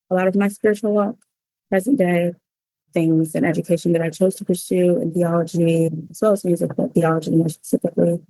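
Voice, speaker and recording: She speaks with a slightly low voice that often drops into creak, and her pitch stays fairly flat. She is a woman sharing her own story in an interview, reflective and informal, pausing as she finds her words. She comes from Cameroon and later the United States. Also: no room sound, rough recording. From Cameroon, then the United States. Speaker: interview guest, cookbook author. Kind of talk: personal storytelling in a conversational interview.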